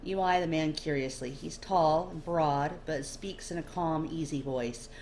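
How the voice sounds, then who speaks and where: A woman's voice: sits low, unhurried, darkish tone. A woman narrating a scripted story in the second person, as an amateur reader of fiction. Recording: clear background noise.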